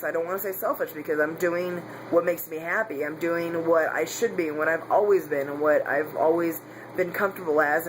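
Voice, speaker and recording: A man's voice: fairly high pitch, background noise. A man talking candidly in a casual personal vlog, rambling with frequent restarts and self-corrections. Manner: candid, confessional. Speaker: vlogger.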